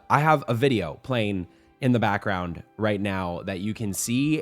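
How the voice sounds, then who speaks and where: A young man hosts a weekly game news show, talking casually and with plenty of energy, though his fairly low voice is slightly hoarse from a cold. He rambles, restarts sentences and drops fillers as he goes, and faint music plays beneath him.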